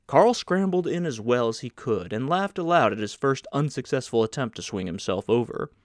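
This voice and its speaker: A man's voice: medium pitch, expressive, moderate pace, dry recording. Male audiobook narrator, dry sound. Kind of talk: scripted literary narration.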